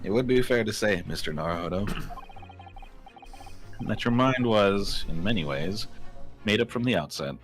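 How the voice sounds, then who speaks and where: A man acting a composed, eloquent gentleman in a video game fan dub, speaking formally and with measured care. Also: fairly low pitch, lively intonation, soft background music, natural voice. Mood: composed, courtly.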